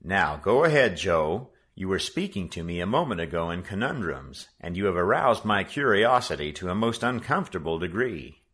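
A man's voice: deep voice, big pitch swings, moderate pace, few pauses, a bit bright. A man playing a shrewd kindly businessman father. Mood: measured.

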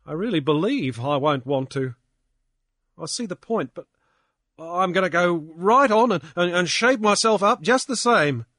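A man reading aloud and voicing a young male drunkard who whines and blusters in a shrill voice. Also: expressive, unhurried, a bit bright, dry recording, studio quality, full character voice. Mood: rueful. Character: young male drunkard, sulky then defiant. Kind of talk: audiobook character voice.

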